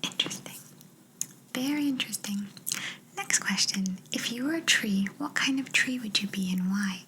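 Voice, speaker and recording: A woman's voice: mid-range voice, normal speed, sharp tone, a little hiss or hum. A woman whispering breathily and playfully as a quirky job interviewer in an ASMR roleplay, close to the microphone. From Canada. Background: writing sounds.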